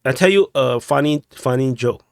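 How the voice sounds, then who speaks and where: A man's voice: medium pitch, normal speed, gravelly. A young man from Singapore with a Singaporean English accent, giving casual commentary over war maps, chatty and often correcting himself, tagging "no?" on his points. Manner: chatty, self-correcting, ends with "no?". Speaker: war map analyst. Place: small room at home.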